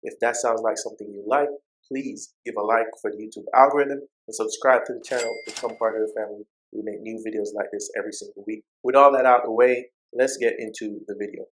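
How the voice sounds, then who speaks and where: A man's voice: on the low side, steady tone, fast talker, poor audio. A man from Jamaica with a Jamaican accent, a personal finance YouTuber talking straight to his viewers in a direct, exhorting way and repeating phrases for emphasis. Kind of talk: motivational talk to camera.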